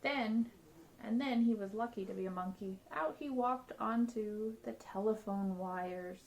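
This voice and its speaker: A woman reading a children's picture book aloud as a storyteller, with an expressive, dramatic delivery. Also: medium pitch, measured pace, low-quality recording.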